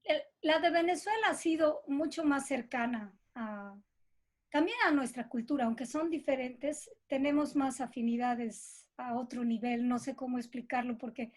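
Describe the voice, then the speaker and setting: A woman's voice: high voice, normal speed. A woman from Cochabamba with a Bolivian Spanish accent, talking casually and reflectively as an interviewee. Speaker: interviewee, composer and musician.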